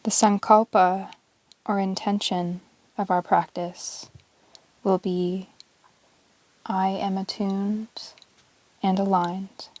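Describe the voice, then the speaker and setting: A woman teaching yoga, guiding a relaxation meditation in a calm, measured, soothing way with long pauses between short phrases. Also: medium pitch, dry recording, low-quality recording. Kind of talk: guided yoga nidra meditation, relaxation narration.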